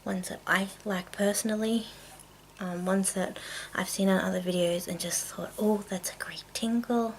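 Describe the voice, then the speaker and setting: A woman speaking in a hushed, breathy whisper, casually rambling through products in an unhurried ASMR style. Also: mid-range voice, fairly even intonation, a little hiss or hum, low-quality recording. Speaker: ASMR video maker.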